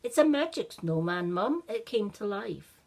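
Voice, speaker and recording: A woman voicing an earnest, excitable little boy in a children's story, speaking insistently. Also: mid-range voice, a little slow, creaky, exaggerated voice. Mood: insistent, pleading. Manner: insistent, emphatic.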